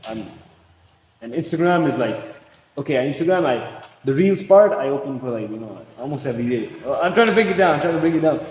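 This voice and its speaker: A man's voice: fairly high pitch, expressive, fast, darkish tone, a little hiss or hum. A young man from Pakistan in casual chat, rambling and slangy, often saying "bro" and laughing. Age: young adult. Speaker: vlogger. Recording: echoey room with long reverb.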